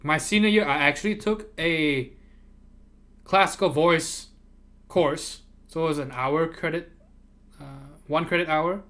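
Man, native Chinese speaker, bright tense voice, somewhat high, rambling livestream chat. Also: a little slow, pauses often. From moved to America from abroad. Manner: rambling, repeats words, laughs often. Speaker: livestream host. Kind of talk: casual chat with chat readers.

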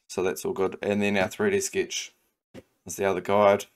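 Low, level-voiced New Zealand man. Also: normal speed. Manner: relaxed, thinking aloud with many ums and restarts. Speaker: CAD design tutor. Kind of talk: screen-recorded software tutorial.

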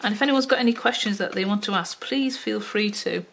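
Older woman, Wirral accent, rambling friendly craft-tutorial talk with plenty of ums, mid pitch, slight background hiss. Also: brisk, creaky, a bit bright. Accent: Wirral, mix of Welsh and Liverpool. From Wales. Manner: chatty, many ums, talks to herself while working. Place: home craft table, indoors. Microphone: overhead camera, hands only.

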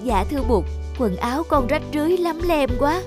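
Woman doing a timid cartoon girl, high-pitched, polite, plaintive, obedient, music underneath. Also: lively intonation, brisk. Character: meek, obedient young orphan girl.

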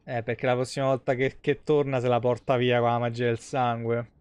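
A man's voice: mid-range voice, completely flat delivery, a little fast, a little mellow, clear, resonant tone, no echo. A man giving colloquial, rambling game commentary into a close microphone. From Italy. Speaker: live game streamer. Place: small indoor streaming room. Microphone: close desk microphone.

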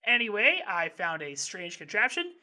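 A woman's voice: medium pitch, sing-song, moderate pace, sharp tone. A woman voicing a cheerfully clueless, impulsive, hyperactive young boy in a cartoon comedy, rambling and blurting as the character goes. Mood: excited, rambling. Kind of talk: animated comedy narration and dialogue.

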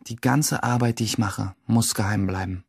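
A man acting a stressed, secretive teenage schoolboy narrating his own life in a radio play, with a husky, gravelly voice. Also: fairly low pitch, steady tone, moderate pace, no echo. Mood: brooding, secretive. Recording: dry studio, faint music behind.

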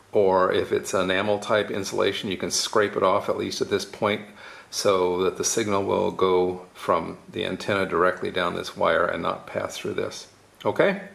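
Low, gravelly man explaining a DIY demo. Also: fairly bright tone. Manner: informal, explains step by step. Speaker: DIY tutorial presenter. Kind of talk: casual hands-on tutorial explanation.

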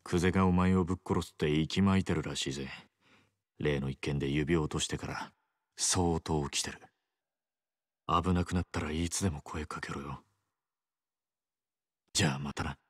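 A male actor voicing a cocky, teasing, loyal young yakuza in a Japanese video game, talking in rough slang. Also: extremely low pitch, steady tone, moderate pace, long pauses, no echo, studio quality. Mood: low, concerned. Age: young adult.

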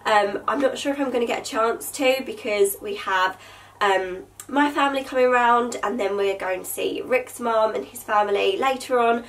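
Bubbly young woman with a stuffy nose from a cold, kinda high voice, bit far from the mic. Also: moderate pace. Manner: chatty, bubbly, lots of fillers. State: nasal from a cold. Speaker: vlogger. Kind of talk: casual haul chat to camera. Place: bedroom with vanity table, indoors.

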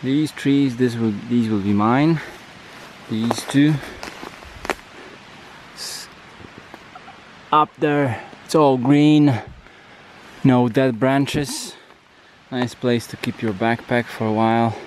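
A young man with a Polish accent, narrating a hiking vlog in a casual, relaxed way, laughing often, outdoors in a forest on a handheld camera. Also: mid-range voice, slow, long pauses, a little hiss or hum, low-quality recording. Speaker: hiker vlogger. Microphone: handheld action camera.

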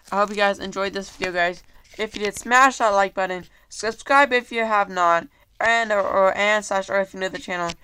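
A man's voice: high voice, pushed and bright, slight background noise, low-quality recording. Rambling young man with a cold. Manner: rambling, filler-heavy, apologetic, keeps saying "guys". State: has a cold. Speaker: YouTuber. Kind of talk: casual video monologue listing items. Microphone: handheld camera.

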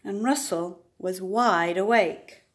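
An older woman reading a storybook aloud to young children, deliberate and expressive, with dramatic emphasis. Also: mid-range voice.

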